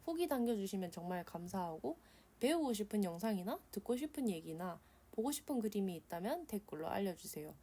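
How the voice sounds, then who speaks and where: A woman's voice: mid-range voice, sing-song, a little fast, mellow, breathy edge. A woman with a regional South Korean dialect, giving a casual drawing tutorial voice-over in a blunt, chatty, colloquial way. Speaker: art tutorial host.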